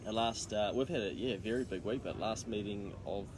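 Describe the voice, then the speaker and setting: A man's voice: lowish voice, brisk, a little mellow, slight background noise. A man with a New Zealand accent chatting casually to camera as an accountant co-hosting a video, in a matey, slangy way. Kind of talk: casual chat to camera for a live social video.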